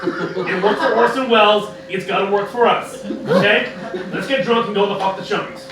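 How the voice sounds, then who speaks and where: A man's voice: high-pitched, fairly even intonation, moderate pace. A man playing a brash, cynical, drunken old mad scientist, rambling sarcastically in a comic live radio play. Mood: cynical, boastful. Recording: very echoey, noisy live room, distant mic.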